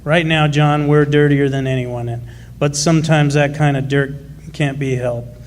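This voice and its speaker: A man's voice: fairly high pitch, steady tone, measured pace, a little hiss or hum, lightly acted. A man acting a fair-minded, plain-spoken father, a miner, in a radio drama, speaking patiently and reassuringly. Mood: firm, reassuring.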